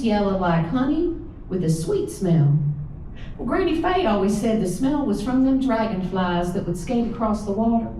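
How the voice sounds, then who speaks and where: Echoey, mellow Southern woman reading stories aloud. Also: medium pitch. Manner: folksy, drawling storytelling. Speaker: author reading own stories. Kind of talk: live literary reading, first-person child narrator. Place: live reading in an indoor event room. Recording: echoey room from far off, faint noise.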